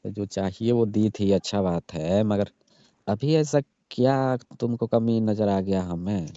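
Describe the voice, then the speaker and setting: A young man with a low, very mellow voice pleads insistently in a casual phone conversation. The call recording is dull and lo-fi, and he sounds like an emotional, jilted lover. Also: unhurried, no room sound.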